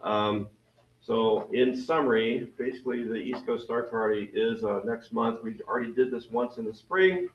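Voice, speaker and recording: A man with a deep voice making rambling, self-deprecating announcements at a club meeting. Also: fairly even intonation, normal speed, a little hiss or hum. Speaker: event committee member. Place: meeting room with a crowd. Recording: roomy, distant, dull meeting recording.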